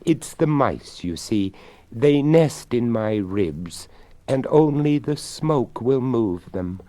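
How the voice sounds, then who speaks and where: Man voicing a genteel, slow-spoken male skeleton. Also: mid-range voice, lively intonation, mellow, no echo, pitched above the performer's own voice. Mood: grateful, genteel. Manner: genteel, polite, unhurried. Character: courteous, grateful old male skeleton in the earth.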